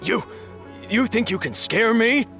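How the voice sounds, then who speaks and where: Defiant game smuggling customer, creaky voice, animated, whiny, irritable. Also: male voice, very high-pitched, a little slow, soft background music, full character voice. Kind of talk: video game character acting.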